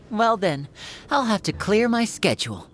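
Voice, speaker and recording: A woman voicing a smooth, teasing young stage magician in an anime game. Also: big pitch swings, a little slow. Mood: playful, affectionate. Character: suave, playful young stage magician, affectionate older sibling. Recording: faint background rumble.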